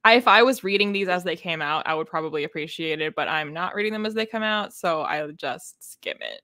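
A young woman co-hosting a book livestream in casual chat, with a wry, animated way of telling things. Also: on the low side, fairly quick, no room sound, studio quality. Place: small book-lined room at home, on a video call.

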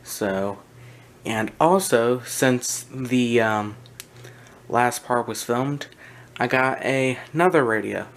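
A man's voice: mid-range voice, slow. A man narrating a casual, unscripted show-and-tell as a video host, speaking hesitantly with trailing asides. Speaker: video host, hobby collector. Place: small indoor room with wooden shelves. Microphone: handheld camera's built-in mic, behind the camera. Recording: noisy handheld camera audio, faint room noise.

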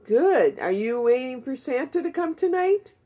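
A woman's voice: high voice, big pitch swings, moderate pace, warm tone. A woman chatting casually and encouragingly in short, simple questions, as if to a child in a home puppet show. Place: living room beside a Christmas tree at home. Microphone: phone camera a short way off.

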